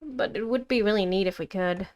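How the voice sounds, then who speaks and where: A woman's voice: medium pitch, fairly quick, darkish tone, lo-fi sound. A woman live-streaming a game, chatting casually and playfully in a rambling way to viewers and herself. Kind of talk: casual livestream chat while playing a game. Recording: background music behind voice. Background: game music.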